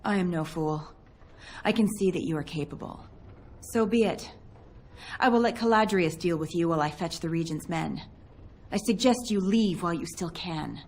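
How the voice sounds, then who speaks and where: An actress playing a haughty mage enforcer in a video game, cold, disdainful and commanding. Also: female voice, lowish voice, moderate pace, a little mellow, a little hiss or hum, lightly acted. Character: haughty female mage enforcer from a slaver empire. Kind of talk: video game cutscene acting.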